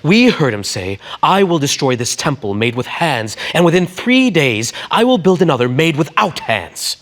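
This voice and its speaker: A man playing insistent accusing witnesses in a dramatic scripture reading, loud and pointed. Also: a little high, very animated, normal speed, pitched above the performer's own voice.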